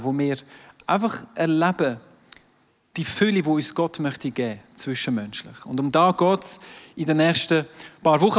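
A man's voice: sits high, fairly bright tone. A man with a Swiss German accent, French being his first language, preaching an emphatic sermon to a live congregation in a large hall. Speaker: pastor. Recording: distant voice in a reverberant hall with crowd.

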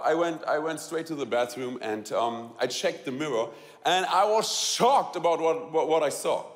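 A man with a German accent tells a personal story to a live audience, halting and repeating words, laughing often and asking the audience questions. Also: a little high, long reverb tail. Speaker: speaker telling a personal story.

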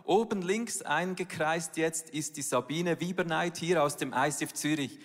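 A church leader giving a personal, earnest talk at a service. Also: female voice, deep voice, moderate pace. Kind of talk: sermon-style church talk. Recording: long hall reverb.

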